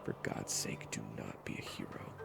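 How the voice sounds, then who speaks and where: A man playing a gruff, jaded vampire hunter in an audio roleplay, blunt and sternly protective as he gives terse orders. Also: normal speed, over loud music, lightly acted. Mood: grave, stern. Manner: blunt, terse, commanding, cursing. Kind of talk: audio roleplay acting addressed to the listener.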